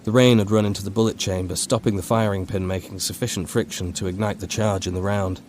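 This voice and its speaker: A man reading a scripted horror audiobook as narrator, in a measured, dramatic storytelling manner. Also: low-pitched, fairly even intonation, a little mellow, slight background noise.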